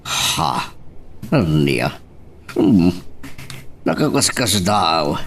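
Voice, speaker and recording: A man's voice: deep voice, sing-song, drawn-out words, recorded from a distance, slight background noise, heavily acted. A man playing a skeptical, grumbling husband who disapproves of gossip in an Ilocano audio drama. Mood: incredulous.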